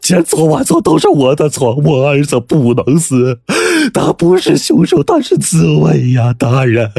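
A man's voice: medium pitch, creaky, mellow, husky, dry recording, clean studio sound, pitched above the performer's own voice, full character voice. A man voicing a frantic middle-aged wealthy squire in a dramatic story, stammering, wailing and pleading.

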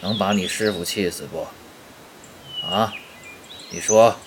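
Man playing a deep, drawling gruff old master. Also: slow, background noise. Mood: fondly scolding. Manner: drawling, colloquial, scolding fondly. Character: gruff, folksy, doting older male demon-hunting master. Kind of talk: Chinese audio drama acting. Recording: outdoor birdsong and clicking noises behind.

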